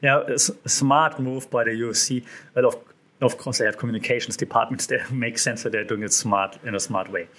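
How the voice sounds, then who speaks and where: A man hosting a solo podcast, talking in a casual, chatty way with lots of fillers and restarts, his voice a bit hoarse. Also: mid-range voice, fairly even intonation, a little fast, a bit bright. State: slightly hoarse. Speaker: podcast host.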